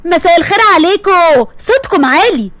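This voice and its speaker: A woman's voice: very high-pitched, animated, brisk, a bit bright, no room sound. A woman voicing a playful, teasing young female friend with an Egyptian accent. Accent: Egyptian Arabic.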